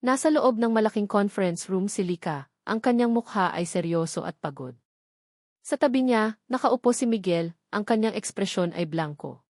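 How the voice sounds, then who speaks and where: A woman's voice: medium pitch, lively intonation, moderate pace, darkish tone, no room sound. Female storyteller, Filipino accent, scripted romantic drama narration, mid-range voice in a dry, close recording.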